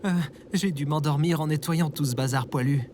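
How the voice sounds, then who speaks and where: A man's voice: fairly high pitch, normal speed, noisy background, natural voice. Anxious, naive everyman played by a man. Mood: confused. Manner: earnest, hesitant, expressive. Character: anxious, naive lone human man, easily panicked. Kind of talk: scripted French audio fiction acting.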